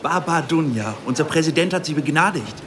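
An actor plays a polite, flustered official in a radio play. His voice is on the high side for a man, with an apologetic manner, and clear vehicle noise runs behind him. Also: lively intonation, brisk, close to an everyday voice. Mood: brisk, formal. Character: apologetic, flustered male official escorting an old woman. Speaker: supporting character. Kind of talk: radio drama dialogue.

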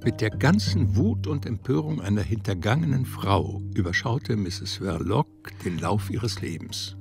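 A male narrator delivers literary prose for a radio drama with solemn, measured phrasing. His voice is lowish and gravelly, with music underneath. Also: sing-song, darkish tone.

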